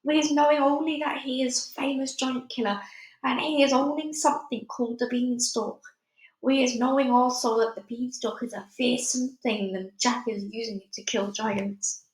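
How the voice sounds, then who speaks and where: A gentle-sized-up storybook giant, male and earnest, acted out by a woman reader. He explains things carefully in quirky, muddled grammar, with a fairly high voice and a roomy echo around it. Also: fairly even intonation, moderate pace, close to an everyday voice. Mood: earnest explaining.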